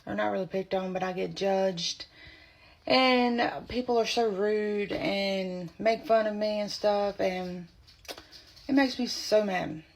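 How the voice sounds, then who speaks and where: A woman's voice: medium pitch, creaky. A woman with a strained, choppy voice, telling her personal story to camera in short, blunt bursts. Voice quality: strained, choppy voice from spasmodic dysphonia. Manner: blunt, indignant, talks in short halting bursts. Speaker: vlogger.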